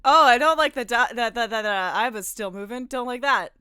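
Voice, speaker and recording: A woman's voice: fairly high pitch, a little fast, sharp tone, clear, resonant tone, dry recording. A woman co-hosting a let's play, giving casual, wry commentary with plenty of swearing. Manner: swears freely, wry banter. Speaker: co-host of a game let's play. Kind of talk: casual joking game commentary. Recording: game music faintly behind. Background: faint video game music.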